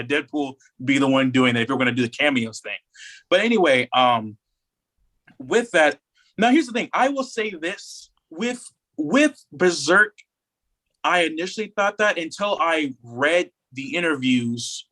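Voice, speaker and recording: A man co-hosting a casual podcast discussion, telling stories in an animated, slangy way and acting out quotes. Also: a little high. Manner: animated storytelling, acts out quotes in slangy speech. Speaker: podcast co-host.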